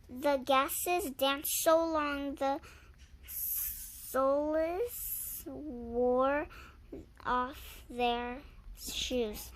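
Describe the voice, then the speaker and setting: Slow, halting high-pitched young reader. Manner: halting, sounds out words and repeats. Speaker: reader and channel host. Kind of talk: halting read-aloud storytelling. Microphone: handheld phone.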